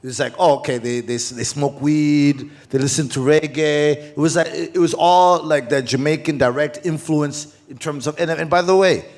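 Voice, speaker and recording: A male panel host with a slightly raised pitch who grew up in Zimbabwe. He speaks in an animated, talkative way and builds long questions for his guests. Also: normal speed, hardly any pauses. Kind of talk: panel moderation.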